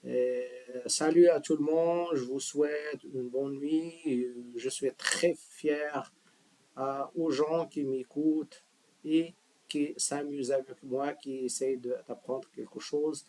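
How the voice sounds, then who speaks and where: A man's voice: fairly high pitch, fairly even intonation, takes it slowly, mellow, a little hiss or hum, low-quality recording. A middle-aged man giving a casual spoken lesson to his viewers. He switches easily between languages and leans on emphatic rhetorical questions. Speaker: language teacher, channel host.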